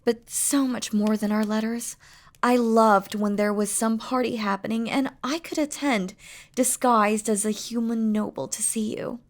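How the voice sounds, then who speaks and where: A woman's voice: on the high side, fairly even intonation, measured pace, natural voice. A woman playing a doting, playful demon queen in an intimate romantic audio roleplay, speaking tenderly and teasingly in formal, regal phrasing. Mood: fond, proud.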